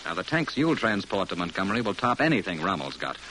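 Casting: a stern, emphatic officer, tense low-pitched delivery, classic radio drama. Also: male voice, sing-song, fast talker, pushed and bright, heavily acted. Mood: urgent, firm. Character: grave, forceful military officer briefing a captain. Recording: faintly noisy old radio recording.

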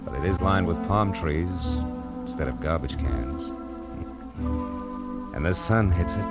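World-weary male gumshoe, low-pitched and sardonic, classic noir radio, strong musical score. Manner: wry, hard-boiled. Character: world-weary, brooding male private detective. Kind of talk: old-time radio noir narration and acting.

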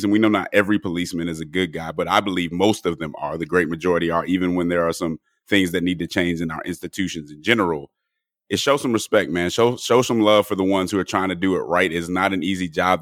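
A man hosting a podcast in relaxed conversational talk, his voice a little hoarse and cracking. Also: deep voice, fast, no echo, clean studio sound. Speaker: podcast host. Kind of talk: casual podcast chat.

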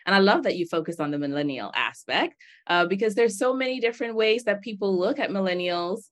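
A woman from the Bahamas hosting an interview, enthusiastic and quick to exclaim as she asks her guest questions. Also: medium pitch, animated. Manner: enthusiastic, exclaims and laughs freely. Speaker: podcast host. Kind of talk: conversational interview.

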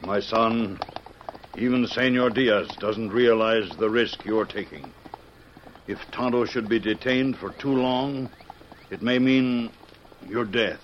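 A man's voice: medium pitch, slow pace, lightly acted. A man playing a kindly, fatherly mission priest who speaks formally and solemnly in an old-time radio western. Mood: grave, concerned. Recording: dull, hissy old radio recording.

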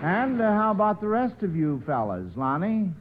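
A man hosts a 1940s radio quiz in a high voice. He chuckles often and repeats his phrases. The sound is tinny and narrow, with light noise. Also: sing-song, normal speed. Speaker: quiz show host. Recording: noisy, tinny old radio broadcast.

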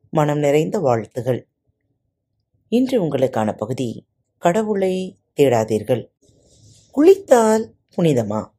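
A woman hosting a podcast, narrating a short moral story with expressive delivery. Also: low-pitched.

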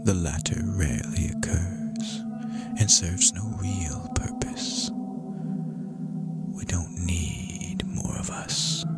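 Sinister hungry vampire, horror audio story, slow menacing pace, wildly swooping pitch, whispery rasp, theatrical. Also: male voice, heavily acted. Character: ancient predatory vampire creature, sinister, hungry, contemptuous of humans. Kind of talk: dramatic horror story reading. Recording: loud music under the voice.

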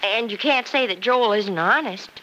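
A woman's voice: medium pitch, normal speed, sharp tone, natural voice. An actress playing an earnest, devoted young woman in an old-time radio drama, speaking emphatically. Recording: noisy vintage radio recording.

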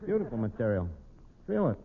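Cocky New tavern manager. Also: male voice, mid-range voice, very animated, fairly quick, vocal fry, breathy edge, full character voice. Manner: mock-pompous, stumbling, slangy, cocky. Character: brash, malaprop-prone New York tavern manager. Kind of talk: 1940s radio comedy acting. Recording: noisy, phone-like old radio recording.

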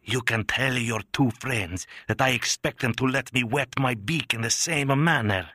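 A man voicing a brutal, smug older mafia extortionist with a thick Sicilian accent, speaking in slow, oily threats. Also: medium pitch, bright, forceful tone, breathy edge, no room sound, studio quality, pitched above the performer's own voice. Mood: menacing. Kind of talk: audiobook character voice.

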